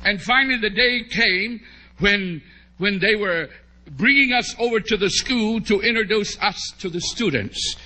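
A middle-aged American man preaching, telling a humorous story to a congregation in an animated way with drawn-out emphasis. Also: high-pitched, poor audio. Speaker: preacher, bishop. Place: large church hall, at a pulpit before a congregation. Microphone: podium microphone on a stand.

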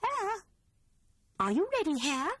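Woman playing little female storybook rabbit: kindly, housewifely, British, high swooping pitch. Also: big pitch swings, slow, fairly bright tone, no room sound, clean studio sound, heavily acted. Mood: calling out. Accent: British English.

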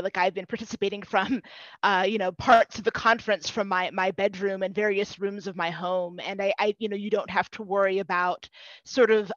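Dull-sounding headset, careful halting woman. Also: mid-range voice, a bit bright, no echo. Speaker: panelist, theatre practitioner. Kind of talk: panel discussion, semi-formal. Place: room at home, video call. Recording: dull, lo-fi video-call audio.

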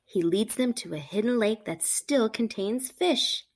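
A woman reading a picture book aloud to children, with animated, emphatic storytelling delivery. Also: fairly high pitch, unhurried. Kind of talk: expressive read-aloud narration for children.

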